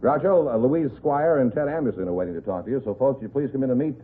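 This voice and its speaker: A man's voice: medium pitch, fast talker. A man announcing a quiz show in 1950s radio style, on an old broadcast recording. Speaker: show announcer, host's sidekick. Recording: noisy narrow-band 1950s radio recording.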